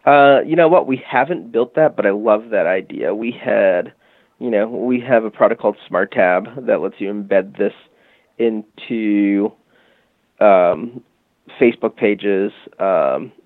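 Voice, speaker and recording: A man with a lowish, gravelly voice talks as a guest, explaining at length and leaning on "um" and "you know". He comes through a thin, tinny phone line. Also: slow pace, dry recording. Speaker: guest from a music marketing company. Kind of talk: casual interview talk.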